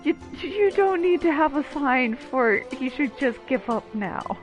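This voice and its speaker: A woman with a fairly high voice commentates casually on a video game. She talks back to the characters in sarcastic, teasing asides. Faint game music sits behind her. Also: animated, measured pace, highs cut off. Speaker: game streamer. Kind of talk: casual playthrough commentary, reacting to dialogue.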